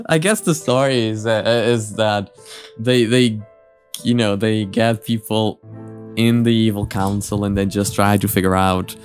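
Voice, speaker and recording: A man's voice: lowish voice, slow pace, pauses often, faint music behind. A man hosting a reaction video with casual, rambling commentary, hesitant and often repeating his words.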